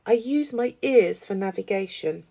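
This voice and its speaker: Expressive woman storyteller with a mellow, creaky voice. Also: medium pitch, normal speed. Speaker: storybook reader. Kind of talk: expressive storytelling read aloud for children.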